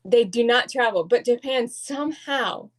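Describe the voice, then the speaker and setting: A woman's voice: on the high side, normal speed. A woman, a guest artist, telling stories with animation in a casual podcast chat, with many fillers.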